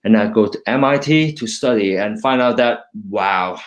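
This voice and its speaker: Middle aged hong kong guy, deepish voice, cantonese native, stops and restarts a lot talking thru his slides. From China, raised in Hong Kong. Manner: halting, with many restarts, switching between English and Cantonese. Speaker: university lecturer, guest speaker. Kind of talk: informal talk presenting slides.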